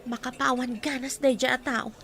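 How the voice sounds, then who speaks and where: High-voiced, impulsive young woman. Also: flat delivery, moderate pace. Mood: apologetic, hurt. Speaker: radio drama actor. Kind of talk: Ilocano radio drama acting.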